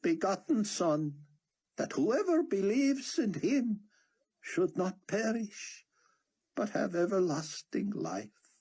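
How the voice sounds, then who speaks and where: A man's voice: high voice, sing-song, takes it slowly, slightly rough, voice pushed up, full character voice. A man voicing a fervent male street preacher who proclaims and leads a prayer. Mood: proclaiming. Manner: loud, preaching, sing-song. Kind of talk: audiobook character voice.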